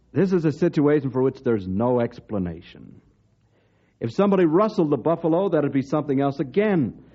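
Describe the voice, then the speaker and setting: A blustery, exasperated colonel, with a medium-pitched voice that swings widely. He speaks emphatically in old radio drama style, on a dull vintage recording. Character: exasperated male colonel, a blustery senior official.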